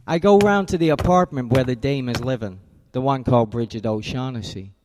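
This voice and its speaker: An actor playing a tough private detective who narrates and talks in a clipped, wry way, in 1940s radio style. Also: male voice, medium pitch, brisk, big-room sound, noisy background, close to an everyday voice. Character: hard-boiled male private detective narrator.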